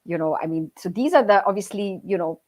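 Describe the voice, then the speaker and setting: A woman's voice: medium pitch, animated, a little fast, darkish tone. A Singaporean woman in her forties, a guest in a casual interview, talking easily with many "you know"s. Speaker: guest, restaurateur.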